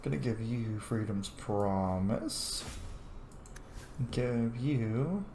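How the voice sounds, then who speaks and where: A man's voice: fairly low pitch, drawn-out words, dark tone. A man giving casual gameplay commentary, playfully talking back to the game's characters. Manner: playful, muttering responses to the game. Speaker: gamer playing along in character. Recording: echoey room with faint noise.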